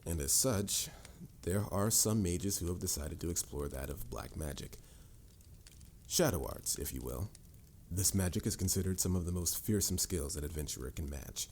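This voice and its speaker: A man's voice: low voice, a little mellow, slight background noise. A man playing a confident, patient mage mentor in a fantasy audio roleplay, instructive and slightly formal in a theatrical way. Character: confident adult male mage mentor, patient, boastful, encouraging. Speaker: voice actor. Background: music.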